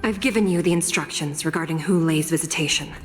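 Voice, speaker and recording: Woman acting solemn low-voiced female judge, cavernous reverb. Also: natural voice. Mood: stern, instructive. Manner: solemn, formal, measured, authoritative. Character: stern, formal female prison judge and guide. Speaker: judge guiding a prison visit. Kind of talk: scripted game cutscene dialogue. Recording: long hall reverb over background music.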